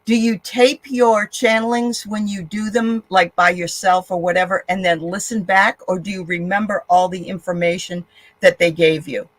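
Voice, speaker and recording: A woman's voice: medium pitch, level tone, normal speed, no room sound. A woman from the Boston area with a slight Boston accent, hosting a live interview in a chatty, encouraging way. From Boston area, Massachusetts. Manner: chatty, encouraging, frequent affirmations. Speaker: host. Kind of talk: casual live-stream interview.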